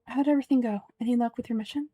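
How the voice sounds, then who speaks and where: A woman's voice: fairly high pitch, very fast, dark tone. A woman who is an amateur voice actor, performing a reading of video game dialogue.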